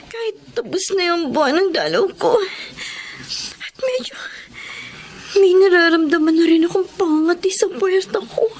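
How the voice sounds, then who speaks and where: A long-suffering, wronged wife in a radio drama. Her voice is extremely high, emotional and pleading. Faint noise sits behind it. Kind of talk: Tagalog radio drama acting.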